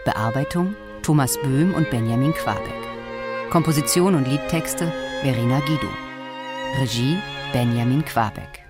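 A woman's voice: very deep voice, unhurried. A woman announcer reading scripted credits for a radio drama. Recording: orchestral music behind the voice.

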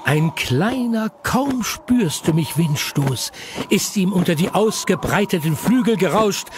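A man's voice: sits high, animated, unhurried, background noise. A man narrating a children's audio play as a playful, dramatic storyteller.

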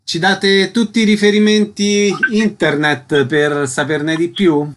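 A man's voice: high-pitched, little pitch movement, slow. A man presenting and asking questions as a radio interview host.

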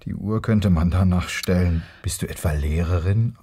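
Young scheming husband, radio drama, fairly low pitch, grumbling, colloquial, sardonic. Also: male voice, moderate pace, warm tone, breathy edge. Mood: sarcastic. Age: young adult. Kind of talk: naturalistic German radio drama dialogue.

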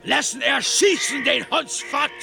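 Shrill, gravelly raging old tyrant king. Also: a little slow, sharp tone, soft background music, full character voice. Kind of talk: radio play acting.

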